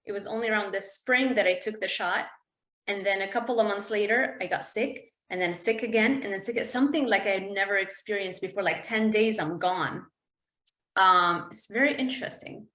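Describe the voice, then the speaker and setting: A woman's voice: medium pitch, moderate pace, pushed and bright, echoey room. A woman from Lebanon hosting a conversational podcast interview. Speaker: podcast host.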